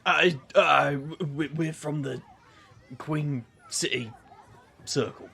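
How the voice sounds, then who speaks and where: A man acting a wry, awkward detective magician in an audio drama, with a gravelly voice and dry, halting delivery. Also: on the high side, pushed and bright, a faint crowd, exaggerated voice.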